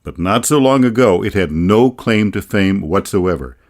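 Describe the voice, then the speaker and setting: Deep-voiced American man narrating wryly. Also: expressive, normal speed, a little mellow. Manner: wry, folksy storytelling. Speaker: radio feature narrator and author. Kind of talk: scripted radio documentary narration.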